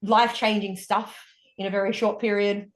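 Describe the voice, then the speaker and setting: A woman's voice: mid-range voice, little pitch movement, moderate pace. Chatty Australian woman, echoey room. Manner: chatty, upbeat and candid. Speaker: guest, wellness coach. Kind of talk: casual interview storytelling.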